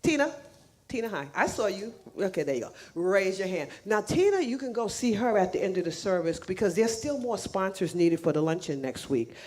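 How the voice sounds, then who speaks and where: A woman's voice: medium pitch, very animated, brisk, a little mellow. A woman making casual announcements to a church congregation, chatty and laughing, with frequent restarts, speaking English as a second language. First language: not English. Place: church sanctuary.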